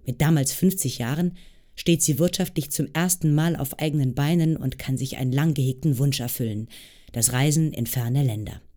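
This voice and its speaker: Mellow warm podcast narrator, measured scripted intro. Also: mid-range voice.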